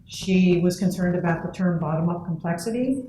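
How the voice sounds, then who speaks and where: A woman's voice: on the low side, normal speed, warm tone. A woman giving a keynote talk at an academic conference, speaking earnestly, with fillers between points. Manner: earnest, thoughtful, with frequent fillers. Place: lecture hall. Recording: echoey lecture hall, faint noise.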